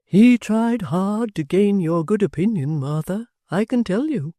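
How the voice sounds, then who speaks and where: A reader voicing a gentle, kindly middle-aged locksmith in a storybook reading, speaking earnestly. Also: male voice, high-pitched, animated, measured pace, no room sound, studio quality, pitched above the performer's own voice.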